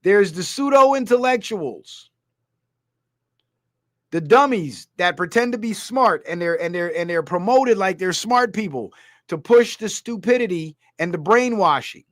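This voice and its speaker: Ranting high-voiced man from Guyana. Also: expressive, a little slow, fairly bright tone, dry recording, studio quality. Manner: heated, ranting, reading comments aloud. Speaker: streamer. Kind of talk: political commentary livestream.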